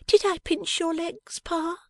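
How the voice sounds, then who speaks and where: A woman reading an audiobook, voicing a playful, affectionate young wife in a high, light, wheedling voice. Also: steady tone, a little slow, a bit bright, dry recording, clean studio sound, exaggerated voice. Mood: coaxing.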